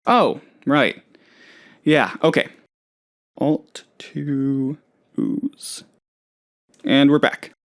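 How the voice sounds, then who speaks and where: A man's voice: medium pitch, drawn-out words, pauses often. A man playing an eccentric, eager, scatterbrained office worker in a comedic video game, rambling earnestly about absurd things. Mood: absorbed, muttering. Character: eccentric, eager, scatterbrained male office colleague, cheerfully odd.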